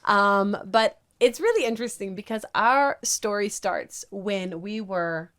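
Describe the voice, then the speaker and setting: A woman's voice: medium pitch, unhurried, a bit bright, smooth. A woman, a youth pastor guest on a podcast, cheerfully telling how she met her husband. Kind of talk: casual podcast conversation.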